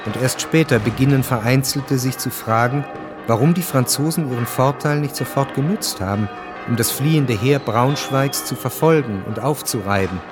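An actor narrating a historical radio drama as a sober storyteller, measured and matter-of-fact. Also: male voice, mid-range voice, over music, natural voice.

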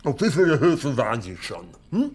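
Very husky, needling farmer. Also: male voice, medium pitch, wildly swooping pitch, a little mellow. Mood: mocking, probing. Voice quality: very husky, breathy. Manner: slow, sceptical short questions. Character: suspicious, needling farmer husband. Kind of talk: German radio drama acting.

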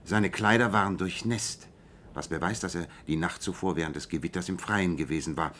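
A low-pitched, self-assured detective in a radio play. He lays out his reasoning in a measured, precise way, over a bed of light background noise. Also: fairly bright tone. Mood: analytical, assured. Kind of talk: German radio play dialogue.

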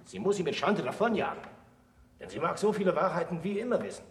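Bitter disgraced scholar, noisy old recording. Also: male voice, high voice, big pitch swings. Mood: impassioned. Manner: deliberate, oratorical, declaiming. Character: disgraced scholar, bitter, self-accusing, solemnly lecturing. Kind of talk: German radio play acting.

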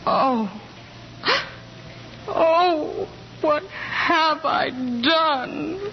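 A woman's voice: sits high, very slow, exaggerated voice. An actress playing a scheming married woman who professes forbidden love in theatrical 1940s radio comedy style. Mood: lovelorn. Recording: muffled, noisy 1940s radio recording.

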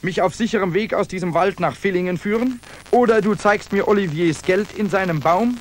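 A man's voice: high-pitched, a little fast, slight background noise, lightly acted. An actor in a German radio drama playing a hardened soldier who narrates his own tale in archaic, clipped diction. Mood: commanding.

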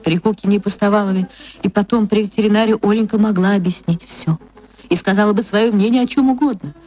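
A woman reads classic fiction for radio in a husky, breathy voice at a medium pitch. Her phrasing is expressive and dramatic, drawing words out. Music plays faintly behind her. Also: fast. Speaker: actress reading a short story.

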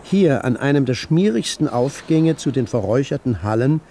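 A man's voice: mid-range voice, animated, normal speed, dark tone, slight background noise, natural voice. A man performing as a grave literary narrator in a radio drama. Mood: grave narration.

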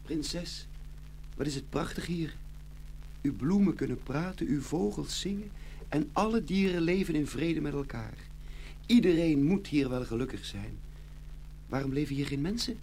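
Earnest young storybook prince, courteous, lively highish voice, faint hiss, radio play. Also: male voice, normal speed, pauses often. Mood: amazed, eager. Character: polite, eager young prince, wide-eyed traveller. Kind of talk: children's fairy-tale radio play acting.

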